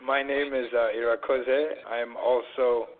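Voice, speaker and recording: A man in his twenties from Canada, a guest on a casual radio talk, speaking earnestly with plenty of pauses and fillers. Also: mid-range voice, flat delivery. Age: 20s. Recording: tinny phone line.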